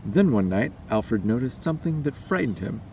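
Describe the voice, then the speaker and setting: A man's voice: mid-range voice, big pitch swings, moderate pace, mellow. A man reading a scary story aloud as a storyteller. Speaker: storyteller and vlogger. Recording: distant mic, noisy background.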